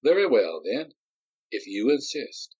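Storybook figure: smug, polished gentleman duelist, voiced by a man, raised pitch, slightly dull recording. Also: big pitch swings, a little slow, slightly rough. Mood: mockingly polite. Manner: courtly, silky, condescending.

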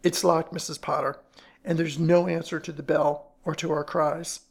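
Woman doing a brisk gentleman detective, polite but bossy, lowish and creaky, storybook reading. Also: level tone, full character voice. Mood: courteous. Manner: polite, educated, commanding.

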